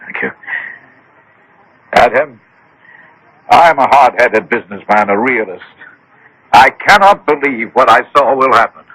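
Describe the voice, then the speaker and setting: A man's voice: medium pitch, lively intonation, a little slow, long pauses, pushed and bright, breathy edge, no echo, heavily acted, low-quality recording. An actor in a radio drama playing an agitated, hard-headed businessman, insistent and skeptical. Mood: anxious, defiant. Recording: dry old radio broadcast.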